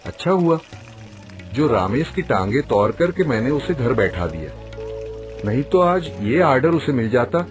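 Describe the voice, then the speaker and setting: A man acts out a scheming village snack seller, greedy and jealous. The voice sits at a medium pitch, in cartoon storytelling style, with faint music behind it. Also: big pitch swings, normal speed, close to an everyday voice. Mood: gleeful, smug. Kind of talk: Hindi cartoon story voice acting.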